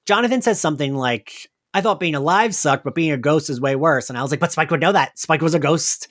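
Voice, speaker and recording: A man hosting a podcast, casually recapping a story in a chatty way full of fillers and quick to laugh. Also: a little high, a bit bright, no room sound, clean studio sound. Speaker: podcast host. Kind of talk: casual recap chat about an audio drama.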